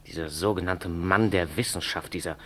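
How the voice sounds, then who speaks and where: Caustic, lowish-voiced man. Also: normal speed, fairly bright tone. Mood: scornful. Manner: sarcastic. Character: caustic, mocking male friend of the professor. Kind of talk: German 1960s radio drama acting.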